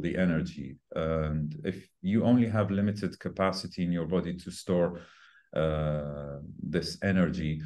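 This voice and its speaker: Man, 40s, low-pitched, soft dark tone, fitness trainer interview, halting phrasing, emphatic repetition. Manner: emphatic repetition of key words. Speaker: fitness trainer and podcast guest. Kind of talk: conversational explanation of diet and fitness in an interview.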